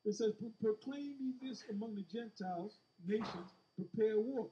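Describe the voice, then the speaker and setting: A man teaching scripture in an informal sermon, halting and repeating words as he explains in blunt street-preacher phrasing. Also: high-pitched, muffled recording, a little hiss or hum. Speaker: religious teacher leading a scripture discussion. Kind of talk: informal sermon with verse-by-verse commentary.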